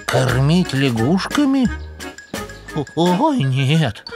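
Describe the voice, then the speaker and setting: Timid male hedgehog, cartoon, slow, hesitant, polite, stammering, music behind. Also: medium pitch, very animated, heavily acted. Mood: hesitant, meek.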